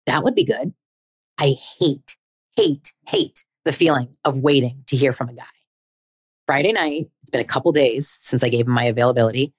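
A woman in her forties narrates her dating life with a low voice, in a candid, chatty and self-deprecating way. The sound is dry and close, with no room echo. Her scripted storytelling still sounds personal. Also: slightly rough. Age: 40s. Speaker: podcast host.

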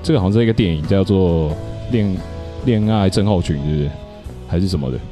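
A man's voice: low voice, a little fast, mellow, soft background music. A man co-hosting a casual radio chat, agreeable and often repeating short phrases.

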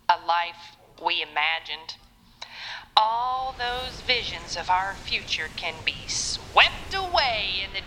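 An actress playing a solemn radio preacher, delivering a poetic, measured and oratorical sermon in an audio drama. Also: female voice, mid-range voice, bright, forceful tone. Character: solemn woman radio preacher consoling the lost. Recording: long reverb, noisy ambience behind.

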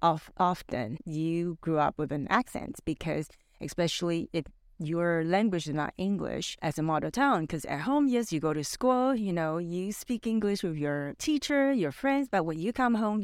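A woman's voice: fairly low pitch, no echo, studio quality. A middle-aged woman who grew up in Canada, Mandarin her first language, telling stories as a podcast co-host, rambling with many fillers. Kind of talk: casual podcast chat.

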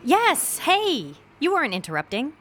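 A woman's voice: high-pitched, huge pitch swings, moderate pace, a bit bright, a little hiss or hum, heavily acted. An actress playing a sheltered, anxious young female college student who speaks candidly in a naturalistic audio drama. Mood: friendly, embarrassed. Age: young adult.

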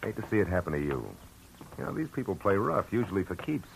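A man's voice: fairly low pitch, very animated, quick pace, creaky, a little hiss or hum, poor audio. An actor playing a tough, wry male private detective who narrates terse first-person, in 1940s radio drama style. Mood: calm. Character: hard-boiled male private eye, dry and tough. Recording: old radio broadcast with music.

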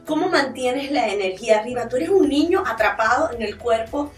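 An effusive female guest, a doctor and health coach, talks affectionately and with some laughter. Her fairly high voice sits back from the mic in an echoey room, with faint music underneath. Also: expressive, brisk, recorded from a distance. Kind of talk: casual chat.

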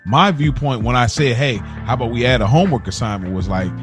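A man co-hosting a casual podcast, explaining himself in an earnest, measured way. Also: mid-range voice. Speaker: podcast co-host. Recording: voice over music bed. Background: music.

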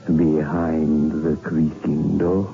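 A tinny vintage radio recording with prominent music behind a macabre horror host. He is deep and extremely slow, sardonic and mock-genteel, savouring each drawn-out phrase. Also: level tone, creaky, heavily acted. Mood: mock dismay. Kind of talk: 1960s radio horror hosting.

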